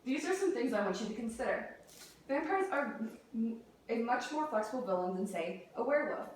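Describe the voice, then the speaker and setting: Young female student speaker, higher-pitched, presenting a rehearsed persuasive talk in a very reverberant room. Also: animated, fairly quick. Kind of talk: prepared persuasive classroom speech. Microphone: distant camera or room mic. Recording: very echoey room, distant mic, faint noise.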